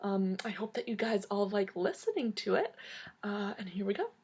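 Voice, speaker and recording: A woman reading a children's book aloud in an expressive storytelling style, drawing out key words for emphasis. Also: mid-range voice, moderate pace, husky.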